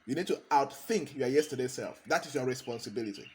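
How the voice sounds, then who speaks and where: A man's voice: medium pitch, expressive, fast talker. A man, a consultant giving a motivational talk, hammering points home by repeating key phrases. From Cameroon.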